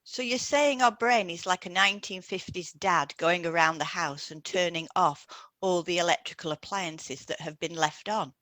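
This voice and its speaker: A woman co-hosting an educational podcast, reading scripted explanations in a measured way. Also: fairly low pitch, a bit bright, dry recording. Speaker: podcast co-host, hypnotherapist.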